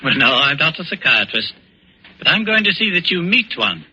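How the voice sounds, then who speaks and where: An actor playing a composed British intelligence officer, calm and dryly ironic, in a 1940s radio suspense drama. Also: male voice, fairly high pitch, moderate pace, husky, heavily acted. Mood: firm, composed. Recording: muffled old radio, faint music.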